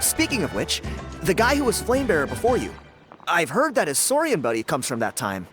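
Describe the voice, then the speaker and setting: A friendly, boastful young dancer from a jungle tribe in a video game. He talks in an easygoing, chatty way, with a slightly high, lively voice. Loud game music plays under him. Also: a little fast.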